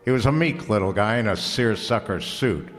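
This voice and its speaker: A man playing a wry, laconic private detective with a deadpan, wisecracking delivery in an old-time radio drama. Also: lowish voice, normal speed, long reverb tail, faint music behind, close to an everyday voice. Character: wry, laconic male private detective narrating his case.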